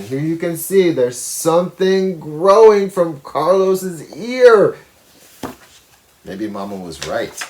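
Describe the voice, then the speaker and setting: A man reads a picture book aloud to young children in an expressive way, pausing to explain pictures and put questions to the listeners. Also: on the high side. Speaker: educator reading a picture book to schoolchildren.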